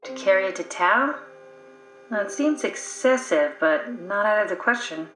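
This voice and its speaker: A woman's voice: medium pitch, expressive, a little slow, fairly bright tone. An actress voicing a pragmatic female scientist whose mind lives in a machine, with a dry, matter-of-fact way of explaining things in a video game. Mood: worried. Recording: echoey room, music underneath.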